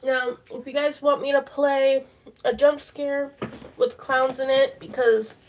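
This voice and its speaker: A woman's voice: sits high, level tone, slow, slight background noise. A woman hosting a casual livestream, chatting in a rambling way and repeating her words. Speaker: livestream host.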